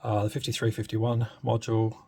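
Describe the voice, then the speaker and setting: A man giving a casual, unscripted hands-on demo as a hobbyist, thinking aloud in a hesitant, understated way with a husky, gravelly voice. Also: on the low side, monotone, fairly quick, a little mellow. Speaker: radio hobbyist presenting a modification demo.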